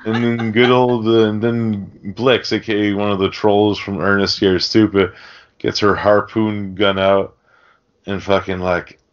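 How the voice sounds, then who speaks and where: A man's voice: fairly low pitch, little pitch movement, measured pace. A man hosting a casual podcast, recapping a film in a rambling, profane, joking way. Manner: rambling, crude joking delivery with mimicked lines. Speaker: podcast host. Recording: dull lo-fi podcast audio.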